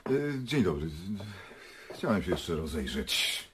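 Radio play: businesslike male prosecutor, acted by a man, curt questioner, very deep voice with lively intonation. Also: heavy background noise, full character voice. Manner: curt, formal, unhurried questioning. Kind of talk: radio drama acting.

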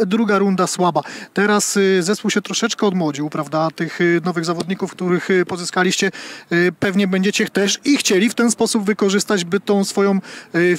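A male sports reporter in his forties with a notably high voice puts questions on location. His delivery is halting, broken by frequent filled pauses, spoken into a handheld mic out in the open. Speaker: sports interviewer. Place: outdoors on a football training pitch. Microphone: handheld reporter microphone.

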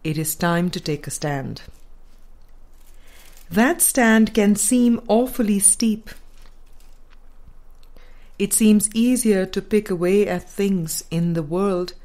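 A woman reading a spiritual book aloud in a measured, deliberate and formal way. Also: mid-range voice, a little mellow. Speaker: audiobook reader.

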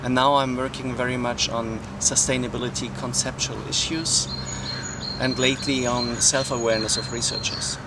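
A man's voice: mid-range voice, flat delivery, normal speed. A middle-aged man giving matter-of-fact interview answers outdoors. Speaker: interviewee, researcher. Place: outdoors among trees. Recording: distant mic, loud outdoor noise.

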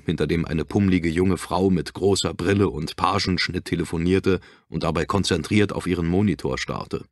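A man's voice: low voice, no echo, clean studio sound. A man narrating a suspense thriller audiobook in a scripted, literary reading style. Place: dry studio.

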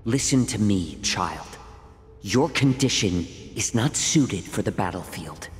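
An actor playing a weary, stern yet self-sacrificing fox-eared healer in a game, speaking gravely and haltingly. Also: male voice, lowish voice, expressive. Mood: calm, stern. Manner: measured, grave, halting delivery. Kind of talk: dramatic game voice acting. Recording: long reverb with music behind.

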